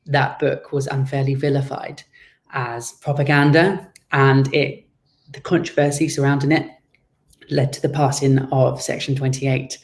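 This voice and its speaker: A man giving conversational interview answers as a guest author, explaining things in a measured way. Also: mid-range voice, gravelly.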